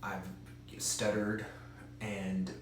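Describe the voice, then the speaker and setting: A man's voice: lowish voice, level tone, drawn-out words, heavy reverb, slight background noise. A man who stutters gives an earnest, direct instructional talk as a presenter for an advocacy group.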